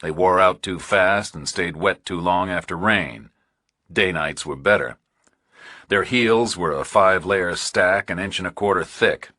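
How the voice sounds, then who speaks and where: A man reads scripted thriller fiction in a very low, husky voice. His delivery is measured and precise, each detail set out deliberately. The recording is dry and close, with no room sound. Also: bright, forceful tone, no echo. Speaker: audiobook narrator.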